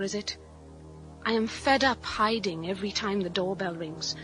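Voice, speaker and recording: A woman's voice: medium pitch, close to an everyday voice. An actress playing a weary, wounded British wife reading out her farewell letter in a radio thriller, plaintive but resolute. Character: weary, wounded wife leaving her jailed criminal husband. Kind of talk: letter read as dramatic monologue.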